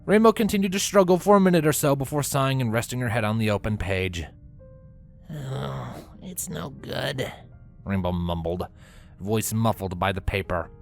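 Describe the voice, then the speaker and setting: A man's voice: on the low side, a little fast, soft background music. A man giving scripted narration of a fan fiction story as the narrator.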